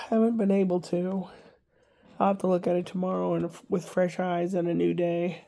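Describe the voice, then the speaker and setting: Older woman vlogger, congested, gravelly, rambling casual chat. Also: on the low side, little pitch movement, normal speed, mellow. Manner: rambling, chatty, wry asides.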